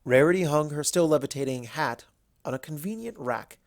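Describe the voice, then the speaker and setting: Expressive storybook narrator, dry close sound. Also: mid-range voice, moderate pace, dry recording.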